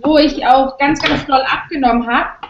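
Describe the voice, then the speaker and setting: A woman's voice: high voice, steady tone, moderate pace, background noise. A young woman chatting as a guest over a video call from her home kitchen, bubbly and colloquial. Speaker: celebrity guest. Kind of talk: casual chat on a video call. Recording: roomy, dull video call audio.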